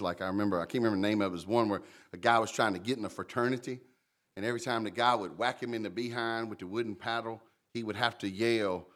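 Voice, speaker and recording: A pastor delivers a sermon in a big, booming voice. He swings from loud emphatic lines to hushed, warm asides. His tone stays conversational, and he addresses congregants directly by name. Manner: lively, conversational preaching, calls out congregants by name. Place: church.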